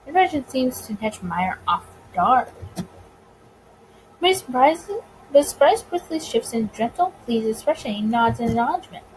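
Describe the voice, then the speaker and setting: A high-pitched woman reads a visual novel out loud as a let's play host. Her delivery swings up and down freely and trips on words here and there. There is noise behind her. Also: expressive, moderate pace, rough recording. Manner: stumbles over words while reading. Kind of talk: reading a visual novel aloud with casual commentary.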